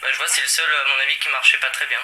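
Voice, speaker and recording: A man's voice: mid-range voice, little pitch movement, rapid-fire, bright, forceful tone. A man rambling hesitantly through a casual, confused complaint on a phone call, in a noisy recording. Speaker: prank caller.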